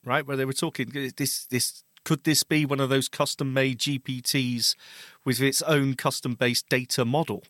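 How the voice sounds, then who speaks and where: A man's voice: medium pitch, steady tone, moderate pace, fairly bright tone, no echo, clean studio sound. A man co-hosting a podcast, discussing tech news in a hesitant way with many restarts and fillers. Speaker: podcast co-host. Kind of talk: casual tech news chat.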